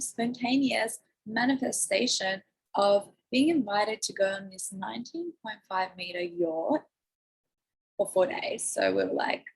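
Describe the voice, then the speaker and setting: A woman life coach chatting effusively on a livestream, her voice croaky and hoarse. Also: a little high, poor audio. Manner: bubbly, effusive, lots of "like", coughing. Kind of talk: casual livestream chat. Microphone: phone or laptop livestream.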